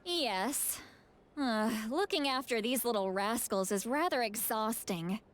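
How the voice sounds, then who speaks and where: A woman voicing a feisty, proud grandmother in a video game, brash, scolding and teasing with gruff affection. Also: a little high, animated, unhurried, a little hiss or hum. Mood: relaxed.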